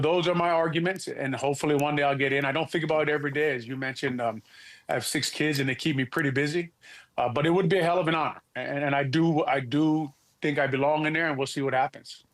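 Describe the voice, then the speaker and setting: A middle-aged man from Hawaii, a former football player, talking in a relaxed, halting way as an interview guest. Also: on the high side. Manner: easygoing, halting, many restarts. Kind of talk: casual interview talk.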